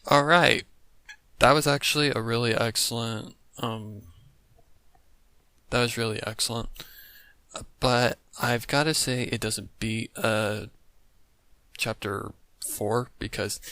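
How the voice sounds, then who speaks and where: A man's voice: lowish voice, pushed and bright, dry recording. A man giving casual, rambling reaction commentary as the host of a video. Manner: informal, rambling.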